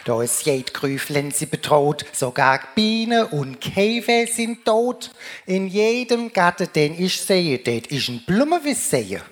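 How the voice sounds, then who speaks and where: A woman's voice: lowish voice, big pitch swings, takes it slowly, soft background music. A woman with a Rhine-Hessian German accent delivering a humorous carnival speech in dialect, declaimed in sing-song rhyming couplets. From Germany, Rheingau region. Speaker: carnival speaker.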